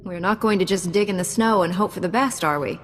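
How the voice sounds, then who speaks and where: A woman's voice: on the low side, fast, lightly acted. An actress playing a confident, dryly witty female Jedi Knight in a video game, cool and assured. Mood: dry, wry. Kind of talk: video game cutscene acting. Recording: echoey hall, music behind.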